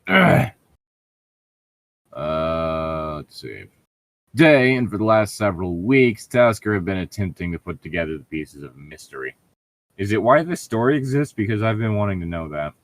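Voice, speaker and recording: A man's voice: low voice, big pitch swings, moderate pace. A man reading a story aloud as a co-host, breaking off for sarcastic, irritable, profane commentary and casual banter. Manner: sardonic, irritable, profane heckling.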